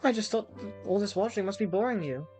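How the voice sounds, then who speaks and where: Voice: mid-range voice, animated, fast, a little mellow, soft background music, voice pushed up, close to an everyday voice. A reader voicing a cheerful, girlish young princess from a visual novel, sweet, playful and teasing. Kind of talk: visual novel character read-aloud.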